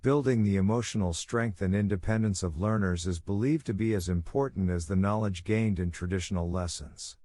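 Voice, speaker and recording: A man reading scripted practice passages aloud in a measured, formal manner. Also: low voice, dark tone, no echo. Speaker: narrator of exam practice passages. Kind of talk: scripted read-aloud narration.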